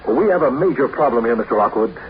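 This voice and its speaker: An actor playing an earnest, courteous Earth official who explains things formally in a 1950s radio drama. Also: male voice, mid-range voice, lively intonation, quick pace, close to an everyday voice. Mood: formal, explanatory. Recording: muffled, noisy 1950s radio recording.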